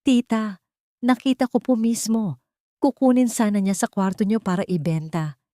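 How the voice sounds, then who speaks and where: A woman's voice: medium pitch, steady tone, normal speed, warm tone, no room sound, voice pushed up, close to an everyday voice. A young mistress in a storybook drama, put on by a woman narrator, pregnant and scheming. She whines and plays the wounded victim in a raised voice. The recording is dry. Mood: whiny, accusing.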